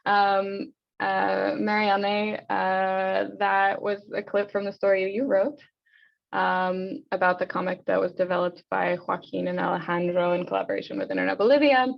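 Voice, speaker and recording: A woman moderates a webinar in a creaky, medium-pitched voice. She is informal and halting as she frames questions, and the call audio is slightly dull. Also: little pitch movement. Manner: halting, hesitant phrasing. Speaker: event host and moderator.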